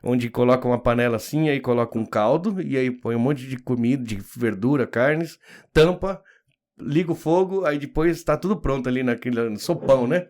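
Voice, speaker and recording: Um homem brasileiro de uns quarenta anos apresentando um bate-papo descontraído, falante, rindo com frequência e cheio de "né". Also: male voice, medium pitch, moderate pace, a little mellow. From Brazil. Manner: chatty, laughs often, many fillers like "né". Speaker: host. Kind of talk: casual interview chat.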